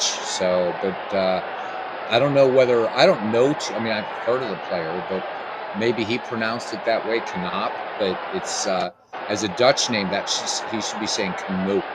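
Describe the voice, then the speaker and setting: A man chatting casually and rambling over his gameplay, with many restarts. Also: fairly low pitch. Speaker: gameplay channel host. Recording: loud game crowd and noise underneath. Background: loud crowd ambience from the game.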